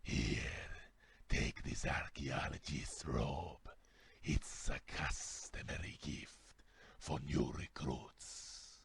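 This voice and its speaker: A man playing a deep, gravelly Argonian lizard guild official in a fantasy game, speaking slowly, haltingly and formally. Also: fairly even intonation, a little hiss or hum, heavily acted. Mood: formal, welcoming. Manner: slow, halting, formal delivery. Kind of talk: scripted fantasy video game dialogue.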